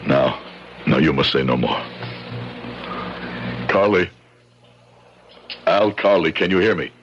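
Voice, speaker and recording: A man's voice: deep voice, animated, slow. An actor in an old-time radio drama playing a proud, vengeful, grieving man who declaims with heavy emphasis and repeats his phrases. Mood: solemn, demanding. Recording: dull, noisy old radio recording.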